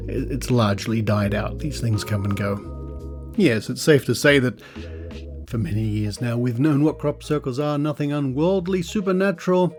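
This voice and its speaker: A man's voice: medium pitch, normal speed, darkish tone, faint music behind. An Australian man hosting a podcast, talking casually and reading aloud in a wry, skeptical manner. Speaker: podcast host.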